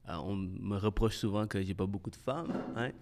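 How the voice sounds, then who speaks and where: A man hosting a podcast. He talks casually and haltingly, restarting his sentences and slipping in English words. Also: on the low side, darkish tone. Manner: halting and hesitant, many restarts, laughs often, mixes in English. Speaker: podcast host.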